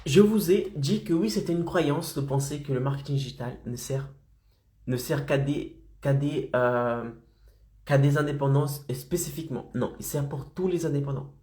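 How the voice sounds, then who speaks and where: A man's voice: mid-range voice, normal speed, dark tone, reverberant. A man with a slight head cold, coaching freelancers in a motivational live stream and keeping his audience engaged with frequent check-ins. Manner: insistent, keeps checking in with "d'accord?" and "ok?".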